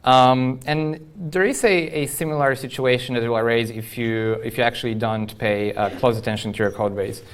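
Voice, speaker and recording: Clear-voiced man giving a talk. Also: medium pitch, steady tone, moderate pace, few pauses. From Poland. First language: Polish. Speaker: conference speaker, software engineer. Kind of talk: informal technical conference talk.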